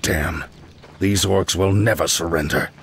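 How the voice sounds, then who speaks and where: Low-voiced fatherly veteran paladin. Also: vocal fry, slight background noise. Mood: angry, exasperated. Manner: authoritative, measured, noble. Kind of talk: fantasy video game cutscene acting.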